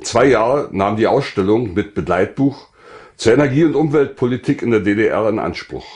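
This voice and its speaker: A man's voice: on the low side, lively intonation, moderate pace, darkish tone. An older man, an author, giving a scripted presentation of his own work and reading from it.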